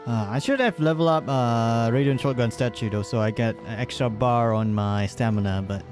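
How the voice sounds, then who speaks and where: A man's voice: mid-range voice, brisk. A male live streamer giving casual gameplay commentary in a mid-range voice. He is informal and joking, feeling his way through sentences, with faint game music behind him.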